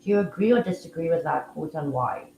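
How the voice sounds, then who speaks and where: A woman hosting a casual live audio room, talking in a relaxed, musing way with frequent fillers. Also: sits low, a little fast, vocal fry, echoey room, muffled recording, slight background noise. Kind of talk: casual live-stream chat.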